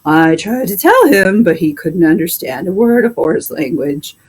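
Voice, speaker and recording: A woman voicing a plain-spoken, indignant male plow horse with drawn-out, emphatic complaints in a storybook. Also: fairly low pitch, big pitch swings, a little mellow, slightly rough, exaggerated voice.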